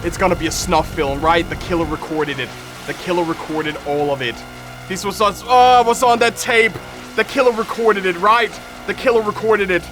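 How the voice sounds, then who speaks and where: A man's voice: sits high, moderate pace, a bit bright, faint music behind. A man live-streaming his reaction to horror videos, reading text aloud and commenting dramatically, often repeating his phrases.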